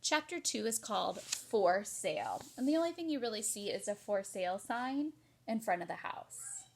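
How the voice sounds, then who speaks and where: A woman's voice: mid-range voice, lively intonation, normal speed. A woman teacher giving an interactive read-aloud for children, explaining expressively and prompting listeners as she goes.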